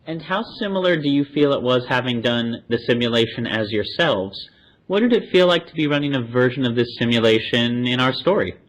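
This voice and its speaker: A man's voice: medium pitch, expressive, fairly quick, rough recording. Playful male podcast host. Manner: jokey, playful. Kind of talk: casual interview chat.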